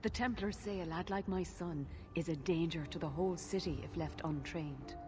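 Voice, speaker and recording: A worried elven mother, voiced by a woman speaks in a game cutscene, earnest and measured. Her voice sits a little low, with faint noise underneath. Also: close to an everyday voice. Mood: pleading. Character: anxious elven mother pleading for her son.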